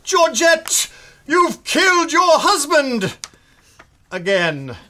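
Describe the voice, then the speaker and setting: An actor playing an aghast, histrionic gentleman in a spoof of 1930s radio melodrama. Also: high-pitched, big pitch swings, very slow, full character voice.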